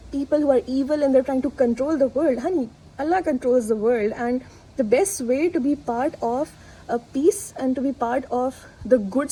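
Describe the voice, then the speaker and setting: A woman's voice: high voice, dark tone, slightly rough, low-quality recording. A young woman whose first language is Urdu, talking candidly and casually to camera, with plenty of fillers and asides. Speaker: vlogger. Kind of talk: casual reflective monologue to camera.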